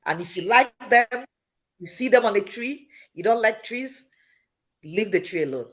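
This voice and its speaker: A woman talks loudly in a casual online discussion. She stammers, repeating her starts. Her voice sits at an ordinary middle pitch. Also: expressive, moderate pace, slightly rough. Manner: loud talker with a stammer. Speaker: panel guest. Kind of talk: casual discussion on a video call. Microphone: video call.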